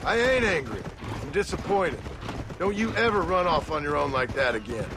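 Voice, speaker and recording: Casting: stern ex-outlaw rancher father, Western drawl, highish lively delivery, sardonic game-drama edge. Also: normal speed, background noise. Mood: stern, concerned. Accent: American Western drawl. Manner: gruff, scolding, sardonic. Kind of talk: video game dramatic acting. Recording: outdoor ambience with horse hooves.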